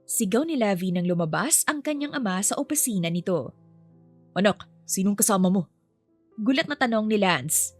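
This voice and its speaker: A woman's voice: medium pitch, lively intonation, fairly quick, dry recording. A woman storyteller reading scripted fiction narration. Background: music.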